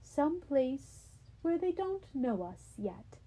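A woman's voice: high voice, big pitch swings, slow pace, mellow, full character voice, poor audio. A woman voicing a loving, frazzled mother who frets over her son. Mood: tender.

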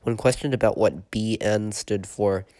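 A man hosting a podcast, stuffy from a cold, reading a written horror report in a steady, matter-of-fact way with a few hesitations. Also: fairly low pitch, unhurried, no echo, clean studio sound. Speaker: podcast host and narrator.

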